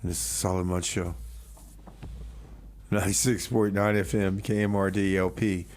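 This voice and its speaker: A man's voice: deep voice, flat delivery, normal speed, a little mellow, a little hiss or hum. A man hosting a music radio show with casual track announcements and comic banter.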